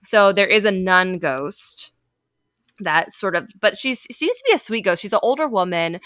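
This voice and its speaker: A woman's voice: mid-range voice, expressive, normal speed, fairly bright tone, no echo, studio quality. A woman hosting a podcast, telling a story in a casual, chatty way with lots of filler words and playful asides. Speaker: podcast host.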